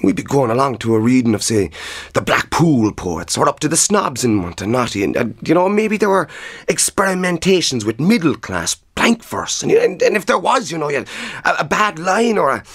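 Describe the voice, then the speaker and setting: Animated, swooping Cork poet. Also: male voice, on the high side, normal speed, breathy edge, full character voice. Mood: excited. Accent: Cork Irish. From Cork, Ireland. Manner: colloquial, emphatic, repeating himself, blunt. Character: cocky, excitable Cork poet laureate in a mock documentary. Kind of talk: mock documentary interview.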